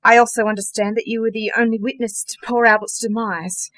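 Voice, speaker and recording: A woman's voice: fairly high pitch, flat delivery, fairly quick, a bit bright, lightly acted. A woman playing a polite, earnest and assured client in a radio play.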